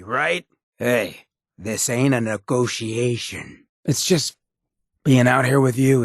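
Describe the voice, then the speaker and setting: Very raspy, roguish ghoul. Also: male voice, lowish voice, no room sound, clean studio sound, exaggerated voice. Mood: confessional. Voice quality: husky, gravelly rasp. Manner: streetwise slangy drawl with swearing. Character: raspy, roguish ghoul mayor, streetwise, blunt, loyal. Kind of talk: video game companion dialogue.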